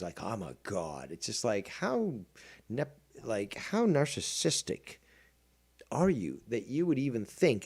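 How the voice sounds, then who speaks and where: A man's voice: mid-range voice, darkish tone. A man hosting a livestream, talking in a combative, mocking and profane way. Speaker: streamer host. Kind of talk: livestream commentary.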